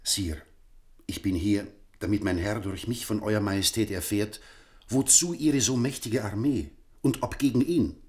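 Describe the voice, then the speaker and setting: An actor playing a formal, anxious Spanish ambassador in a German radio play, courtly, measured and insistent. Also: male voice, lowish voice, lightly acted. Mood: formal, wary.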